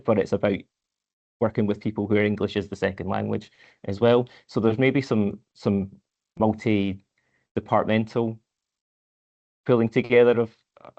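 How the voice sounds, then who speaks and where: A council officer answers questions in a fairly low, level voice, stumbling and repeating words as he thinks aloud. The audio is slightly muffled and lo-fi. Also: creaky, darkish tone. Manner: hesitant, repeats words, measured. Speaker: council head of service. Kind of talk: answering questions at a committee meeting.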